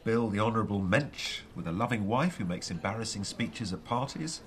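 Man acting out a sardonic friend, middle-aged, lively up-and-down delivery, teasing. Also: on the low side, fairly quick, lightly acted. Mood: wry. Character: wry, jealous middle-aged man, self-mocking friend. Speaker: friend at lunch. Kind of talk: scripted radio drama dialogue.

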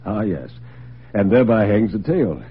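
A man voicing a genial, courteous gentleman host in a dramatic radio story, on a tinny, noisy old recording. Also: on the low side, very animated, moderate pace, slightly rough. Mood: chatty, amused. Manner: courteous, chatty, solicitous. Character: genial, urbane gentleman host, sly and commanding.

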